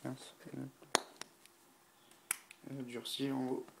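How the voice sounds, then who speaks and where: Gravelly man with a stuffy nose. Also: medium pitch, monotone, heavy background noise. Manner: unhurried, thinking aloud with many fillers. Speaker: miniature painting hobbyist. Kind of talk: talking through a painting tutorial.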